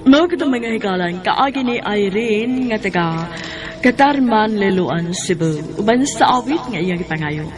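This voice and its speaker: A woman's voice: medium pitch, animated, normal speed. A woman with a Cebuano Filipino accent doing scripted announcing as the presenter of a radio drama. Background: music.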